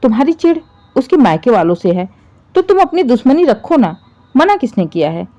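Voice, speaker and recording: An earnest young husband in a domestic story, voiced by a woman narrator. He reasons and pleads protectively with his mother. The voice is mellow, with animated pitch. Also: normal speed, dull audio. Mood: pleading.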